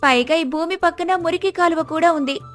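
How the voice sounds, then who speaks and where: A woman's voice: extremely high pitch, brisk, fairly bright tone, faint music behind, pitched above the performer's own voice. A woman voicing a clever, sarcastic village wife in a moral story. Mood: mocking. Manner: sarcastic, pointed.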